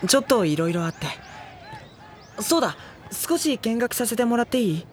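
An actor playing a gentle, worrying high-school boy in a Japanese audio drama, soft-spoken and polite. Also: big pitch swings, moderate pace, slight background noise. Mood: puzzled. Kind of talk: Japanese audio drama acting with introspective narration.

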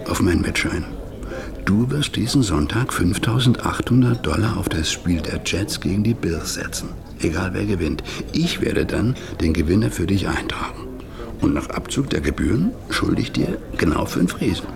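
An actor playing a scheming middle-aged husband who calmly lays out a murder plan in a radio drama. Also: male voice, deep voice, normal speed, vocal fry, a crowd in the background. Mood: calm menace. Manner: calm, businesslike, quietly menacing.

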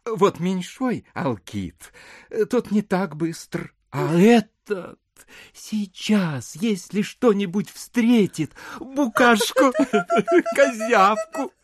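A man's voice: sits high, very animated, very slow, heavily acted. An actor playing a sugary, fawning landowner and doting father in an audio drama, effusive and giggly, drawing out his words. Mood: doting, proud. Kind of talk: theatrical audio drama acting.

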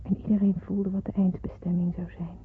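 A woman playing a fearful girl in hiding, telling her story solemnly in a breathy, hushed radio drama voice. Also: medium pitch, little pitch movement, fairly quick. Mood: sombre, sorrowful. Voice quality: breathy, husky. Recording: muffled narrow-band radio recording.

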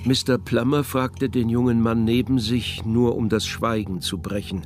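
A man's voice: mid-range voice, fairly even intonation, normal speed, background noise, close to an everyday voice. A male actor narrating a literary radio drama as a wry, scripted storyteller. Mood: wry, measured.

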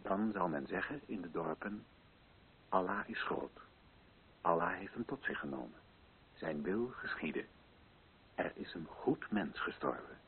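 An actor playing a solemn colonial official who addresses village chiefs in deliberate, rhetorical oratory in a radio play. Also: male voice, sits low, animated, narrow, tinny audio, slight background noise. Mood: hushed, reverent.

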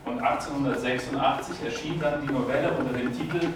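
A sober actor's male narrator voice in a radio play, giving documentary-like scripted narration. It sounds distant and extremely echoey, with clear noise. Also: mid-range voice, fast, close to an everyday voice. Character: sober male narrator recounting literary history.

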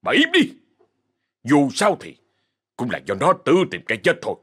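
A man voicing a cold, domineering rich old landowner who speaks gruffly and commandingly. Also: mid-range voice, sing-song, fairly quick. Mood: scolding.

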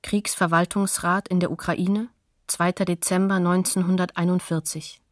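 A woman's voice: fairly low pitch, flat delivery, normal speed, no room sound, clean studio sound, natural voice. A woman as the announcer of sources in a documentary radio play, neutral and factual.

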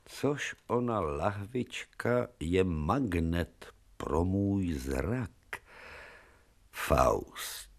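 An actor playing an authoritative, brooding and eccentric professor-surgeon in a radio drama, with a commanding, theatrical manner. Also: male voice, low voice, expressive, gravelly, exaggerated voice. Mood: brooding, hesitant.

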